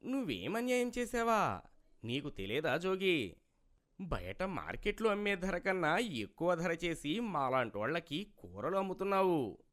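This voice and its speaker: A man's voice: fairly high pitch, very animated, unhurried, a bit bright. A man voicing an indignant customer who scolds a cheat in a cartoon moral story, reproachful and lecturing. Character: indignant male customer teaching a cheat a lesson.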